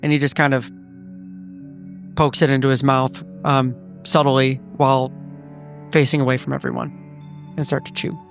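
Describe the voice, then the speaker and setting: A man's voice: mid-range voice, flat delivery, normal speed, gravelly, long pauses, a bit bright, faint music behind. A man playing a tabletop game, narrating his own character's actions in the third person.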